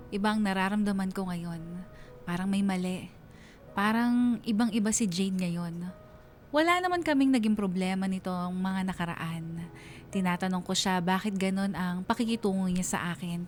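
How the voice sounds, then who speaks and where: A woman voices a young letter writer confessing her story in a radio drama. She sounds wistful, with an average pitch for a woman and quiet music underneath. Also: lightly acted.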